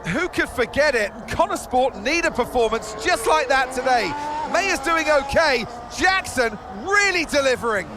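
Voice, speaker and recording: A man voicing an excitable Formula 1 television commentator, calling the race with dramatic, projected urgency. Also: very high voice, normal speed. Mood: excited. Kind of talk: live motorsport commentary. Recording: loud music, engines and crowd behind.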